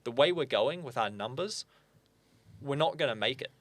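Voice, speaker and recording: A young New man talks as a podcast guest. He makes his case in an earnest, fluent way, tossing in quick asides, and his voice sits at an ordinary middle pitch. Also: animated, a bit bright, dry recording. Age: young adult. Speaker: guest, firearm owners' spokesperson. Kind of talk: casual interview chat.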